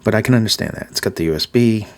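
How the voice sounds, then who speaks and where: A man with a Massachusetts accent giving a casual, rambling gear review, filling pauses with ums and uhs and repeating his praise. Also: lowish voice. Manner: filler-heavy, repeats praise words.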